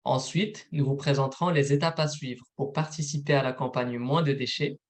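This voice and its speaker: A man, a program manager, presenting a recorded informational webinar in a steady, methodical read. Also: medium pitch, moderate pace.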